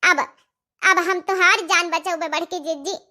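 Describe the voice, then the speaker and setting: A woman voices a young rural mother from an Awadhi cartoon comedy. The voice is childlike in its very high pitch and piercingly bright. She pleads effusively, repeating herself in melodramatic, repentant bursts. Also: steady tone, moderate pace, exaggerated voice. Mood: tearful gratitude.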